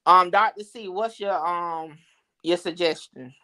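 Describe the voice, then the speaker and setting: A woman's voice: lowish voice, measured pace. A woman hosts a casual online chat at an easy pace. She steers the talk loosely, with plenty of "um" and "like" along the way. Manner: relaxed, steering the talk with fillers. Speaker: host. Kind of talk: casual live-stream chat.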